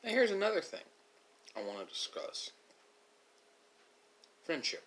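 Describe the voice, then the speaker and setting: Man musing with very lively, swooping intonation. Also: high voice, unhurried, slight background noise. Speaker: video creator. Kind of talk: reflective monologue.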